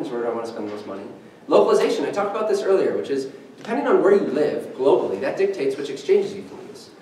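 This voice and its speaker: Young male presenter, lively and chatty, off-mic in a reverberant meeting room, faint room hiss. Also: fairly high pitch. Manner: energetic, conversational, engages the audience with questions. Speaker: startup presenter at a meetup. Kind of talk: conference presentation. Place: meeting room with a screen and podium. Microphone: far camera, no mic. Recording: echoey room from far off.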